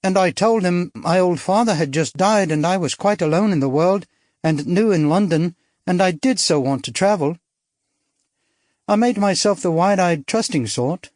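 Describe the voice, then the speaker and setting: A daring young actress with an arch, theatrical wit, as a male audiobook narrator puts her on. The voice sits high and light, teasing and quick with a line. It is recorded dry and close, with no room sound. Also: darkish tone, dry recording. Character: witty, daring young upper-class Englishwoman, an actress.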